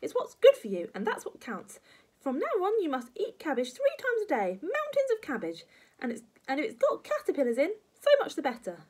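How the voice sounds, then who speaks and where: A woman's voice: high voice, huge pitch swings, fairly quick, a little mellow, pitched above the performer's own voice. A woman voicing a tiny, wicked old grandmother from a children's storybook, bossy, snappy and scolding.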